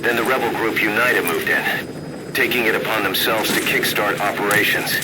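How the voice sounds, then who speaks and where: An actor playing a serious, composed military adviser, giving a measured scripted briefing to his commander. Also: lowish voice, natural voice. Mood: businesslike, firm. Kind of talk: scripted mission briefing and exposition. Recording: loud helicopter and aircraft noise behind. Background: helicopter rotor and engine ambience.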